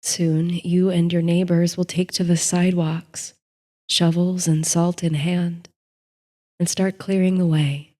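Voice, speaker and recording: A woman narrates a sleep story like a guided meditation, in a soft, soothing, unhurried voice that turns breathy at the ends of phrases. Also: on the low side, flat delivery. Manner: slow, soothing, unhurried delivery. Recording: soft music under the voice. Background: soft music.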